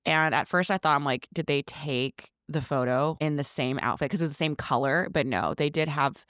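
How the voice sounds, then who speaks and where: A woman co-hosting a podcast, chatting casually as she recaps a movie, with quick asides and restarted sentences. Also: low voice, fairly bright tone, dry recording, clean studio sound. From United States. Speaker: podcast co-host.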